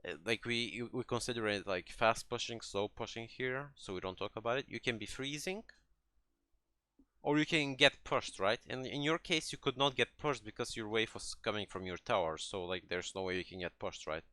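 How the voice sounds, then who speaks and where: A man with a bright, crisp-edged voice works as a gaming coach, talking a learner through a replay. He explains conversationally, restarts his phrases and keeps checking in, and the sound is close and dry. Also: mid-range voice, moderate pace, no echo. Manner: hesitant phrasing with many restarts, checking understanding with "right?".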